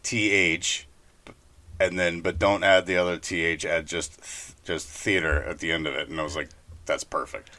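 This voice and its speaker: A man's voice: sits low, little pitch movement, normal speed, sharp tone. A man co-hosting a podcast, telling anecdotes in a casual, conversational way. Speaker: podcast co-host.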